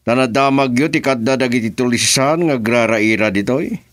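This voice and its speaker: An actor playing a scheming, menacing male boss in a radio drama, with a slow, mocking way of talking. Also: medium pitch, natural voice. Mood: probing. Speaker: boss on a phone call.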